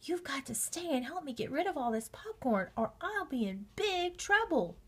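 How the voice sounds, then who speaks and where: Woman as an insistent young boy bear, raised pitch. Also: sing-song, moderate pace, a bit bright. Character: young boy bear, bossy party host.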